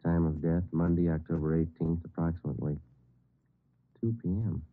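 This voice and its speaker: Deep, clipped deadpan police detective. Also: male voice, normal speed. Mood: businesslike. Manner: clipped, matter-of-fact, deadpan. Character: brisk, businesslike police detective sergeant and narrator. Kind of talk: 1950s radio police procedural narration and dialogue. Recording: tinny old radio broadcast, music behind.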